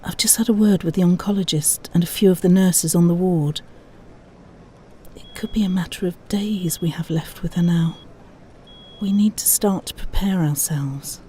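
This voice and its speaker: A woman playing a sombre, caring grandmother in an audio drama, grave and measured, with faint noise behind her. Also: lowish voice, warm tone, lightly acted.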